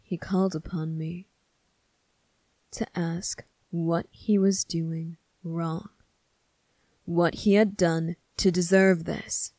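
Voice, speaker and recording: A woman voicing a stern, bitter young male demon prince in an ASMR roleplay, speaking deliberately and accusingly, at times sinking toward a whisper. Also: lowish voice, flat delivery, warm tone, no echo. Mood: grave, indignant. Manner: slow, deliberate, accusing, with breathy whispered phrases. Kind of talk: scripted ASMR roleplay monologue.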